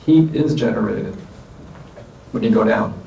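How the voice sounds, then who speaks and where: Male scientist panelist, gravelly tone, explanatory, distant echoey room mic. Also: lowish voice, animated, measured pace, dark tone, a little hiss or hum. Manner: explanatory, uses analogies. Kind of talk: answering audience questions. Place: lecture room with audience.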